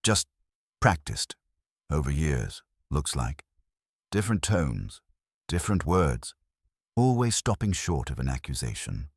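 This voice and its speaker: A man's voice: a bass voice, big pitch swings, a little slow, pauses often, breathy edge, no room sound, heavily acted. A man voicing a plain-spoken police sergeant in a story, matter-of-fact and clipped as he reports. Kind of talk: audio drama narration dialogue.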